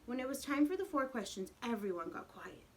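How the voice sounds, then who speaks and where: A woman's voice: fairly high pitch, sing-song, fairly quick, slight background noise. A woman reading a picture book expressively to young children as their teacher, speaking to them directly. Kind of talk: animated storybook read-aloud with questions to the children.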